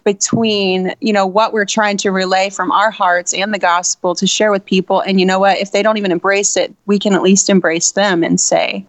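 A woman singer with a low voice, giving earnest interview answers full of "you know". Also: level tone, normal speed, hardly any pauses, no room sound, rough recording.

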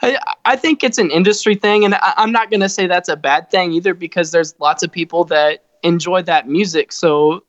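A man's voice: high voice, moderate pace, few pauses, fairly bright tone, no echo. A man from a band answering interview questions in a thoughtful, easygoing way. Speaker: band member. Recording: dull, lo-fi sound.

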